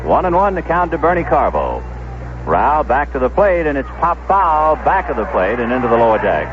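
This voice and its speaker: A man doing 1970s radio baseball play-by-play, measured and descriptive, telling small stories between pitches. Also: sing-song, slight background noise. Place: stadium broadcast booth. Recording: dull lo-fi vintage AM radio broadcast.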